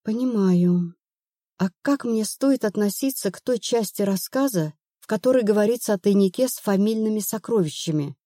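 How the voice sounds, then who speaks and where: A woman's voice: mid-range voice, moderate pace, dark tone, no room sound, clean studio sound, lightly acted. A woman narrator playing an anxious, stubborn woman of thirty-one in an audiobook, plaintive and earnest. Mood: doubtful.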